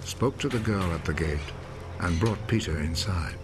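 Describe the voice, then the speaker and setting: Male film narrator, lower register, solemn measured scripture storytelling, prominent music underneath. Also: expressive. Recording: film score behind the voice.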